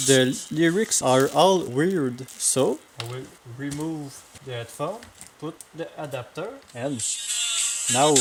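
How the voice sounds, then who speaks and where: A man with a French accent speaking halting non-native English, casually demonstrating gear as a vlogger. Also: fairly high pitch. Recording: clearly noisy amateur recording with clicks.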